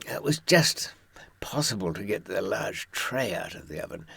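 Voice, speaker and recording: A man's voice: lowish voice, animated, moderate pace, gravelly, pushed and bright, breathy edge, no echo. An older man, telling anecdotes and giving advice from experience in a wry, droll raconteur's manner. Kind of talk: anecdotal storytelling to listeners.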